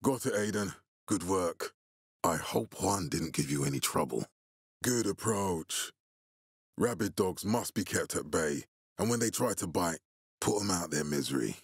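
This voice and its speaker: Game militia commander: male, low husky voice, stern, measured, fatherly authority, dry close sound. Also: animated, no echo, full character voice. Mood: commanding, resolute. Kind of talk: video game voice acting.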